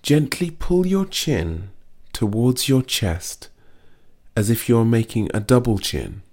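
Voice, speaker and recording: A man reading a guided muscle relaxation script in a slow, soothing, gently instructive way. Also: mid-range voice, sing-song.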